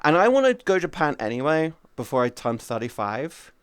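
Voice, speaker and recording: A man's voice: on the high side, big pitch swings, brisk, clear, resonant tone, no room sound. A man in his thirties streaming, rambling in casual chat with his viewers, swearing freely and greeting chatters by name. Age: 30s.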